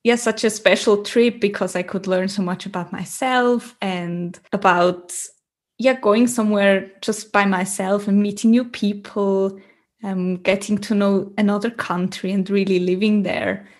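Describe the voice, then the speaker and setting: Slow-talking woman, halting. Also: medium pitch, fairly even intonation, darkish tone. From Switzerland. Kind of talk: casual interview talk in a second language.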